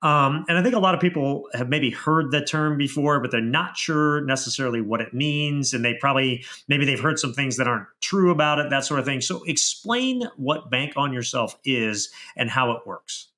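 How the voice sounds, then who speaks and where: A man's voice: medium pitch, moderate pace, few pauses, bright, forceful tone. A man hosting an interview podcast, talking casually and working toward his questions in loose, rambling phrases. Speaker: podcast host.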